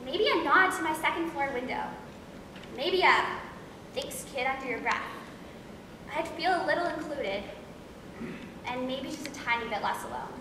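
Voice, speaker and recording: Woman playing a lonely girl in an echoey hall. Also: high voice. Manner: confessional, reflective. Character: lonely, wistful girl longing to be noticed. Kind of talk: amateur stage monologue. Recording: echoey hall, distant mic, noisy.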